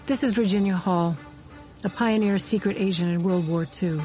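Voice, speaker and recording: An actress as a woman intelligence officer looks back on secret work in a radio drama. Her narration is measured and dryly ironic, at a middling pitch, with music clearly playing beneath. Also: dark tone, lightly acted. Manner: measured, dry irony. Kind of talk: scripted first-person radio drama narration. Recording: music bed under the voice.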